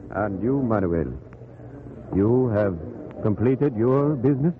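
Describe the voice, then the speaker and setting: Solemn priest with swooping intonation. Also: male voice, on the low side, expressive, takes it slowly, narrow, tinny audio. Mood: probing, grave. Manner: solemn, moralizing. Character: grave, probing, fatherly priest. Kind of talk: 1940s radio acting. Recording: noisy, thin 1940s radio recording.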